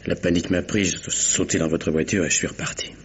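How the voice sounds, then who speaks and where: An actor playing a weary murder suspect, bitter, faltering and fatalistic, in a French radio mystery. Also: male voice, low-pitched, fairly even intonation, fast talker, close to an everyday voice. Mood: bitter, resigned. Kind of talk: French 1950s-style radio mystery acting. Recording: slightly noisy old radio recording.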